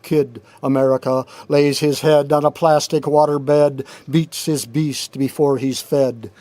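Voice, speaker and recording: A man reading his own poetry aloud in a deliberate, rhythmic, dramatic spoken-word style, recorded in a studio. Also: mid-range voice, fairly even intonation, warm tone, dry recording. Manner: deliberate, measured, rhythmic delivery with pauses. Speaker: poet reading own work.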